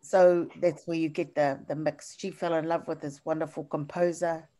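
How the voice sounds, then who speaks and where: An older woman with a New Zealand accent giving a lecture over slides on a video call, halting, with many fillers and restarts. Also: low voice, level tone, warm tone.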